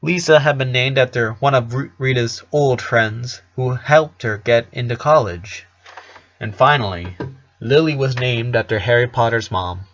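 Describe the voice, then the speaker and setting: A man's voice: medium pitch, unhurried, a bit bright. Male story narrator, slightly dull sound. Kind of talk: reading fan fiction aloud.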